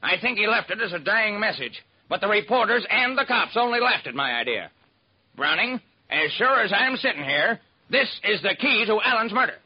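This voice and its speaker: A brash male music publisher from an old radio drama, talks in slangy, punchy lines. His voice is high and husky, on a dull vintage recording. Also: expressive, normal speed. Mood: insistent. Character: brisk, self-made male music publisher, colloquial and eager.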